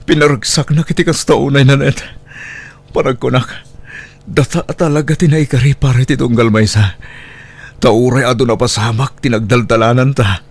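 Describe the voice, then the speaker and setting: A man's voice: mid-range voice, steady tone, normal speed, slightly rough. A man with an Ilocano accent playing a tender, devoted middle-aged husband and single father in a sentimental audio drama. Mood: tender, earnest. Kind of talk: Ilocano radio-style audio drama acting.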